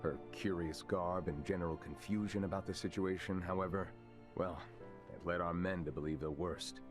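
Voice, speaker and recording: A man's voice: sits low, steady tone, close to an everyday voice. An actor playing a composed, formal male military commander in a video game cutscene. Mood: measured. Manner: formal, measured, courteous. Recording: game cutscene with music behind.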